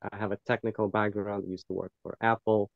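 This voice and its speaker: A man from Ukraine, a guest on a talk show, talking calmly with many fillers. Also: fairly low pitch, brisk, vocal fry, dry recording, dull audio. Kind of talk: casual interview chat.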